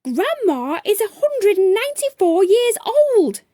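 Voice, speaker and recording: A woman voicing a small young fortune cookie trainee in a children's storybook, squeaky, timid yet fiery. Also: very animated, a little slow, mellow, no echo, studio quality, exaggerated voice. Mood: angry, screaming.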